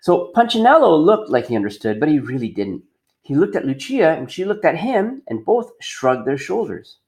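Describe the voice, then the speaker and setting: Male teacher reading aloud, very animated pitch. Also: normal speed. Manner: expressive, questioning, explanatory. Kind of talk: storybook read-aloud with questions to pupils.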